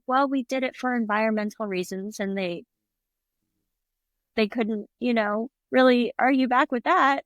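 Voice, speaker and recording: A middle-aged woman talks easily at a medium pitch, chatty and quick to laugh. She slips into playful impressions of other people as she tells her stories, and her voice sits close and dry with no room sound. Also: no room sound. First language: English. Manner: chatty, laughs often, acts out quoted voices. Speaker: restaurant owner, guest. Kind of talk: casual interview conversation.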